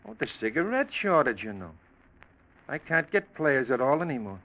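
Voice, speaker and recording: An actor playing a chatty, folksy cigar store clerk who rambles hesitantly, in 1940s radio drama style. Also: male voice, medium pitch, very animated, moderate pace, natural voice. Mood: dismissive. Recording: muffled old radio recording.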